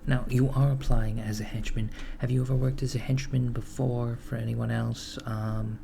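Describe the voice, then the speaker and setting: A man playing a dry, deadpan villain's recruiter in a soft-spoken ASMR roleplay, measured and a little hesitant as he interviews henchmen. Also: mid-range voice, gravelly, slight background noise, natural voice. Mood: businesslike, deadpan. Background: computer keyboard typing.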